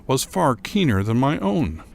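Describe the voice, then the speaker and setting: A man playing a troubled, bitter gentleman who narrates a gothic horror tale in a formal, deliberate, theatrical manner. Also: mid-range voice, big pitch swings, gravelly, a little hiss or hum. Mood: brooding, aggrieved. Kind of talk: dramatic first-person reading of gothic horror fiction.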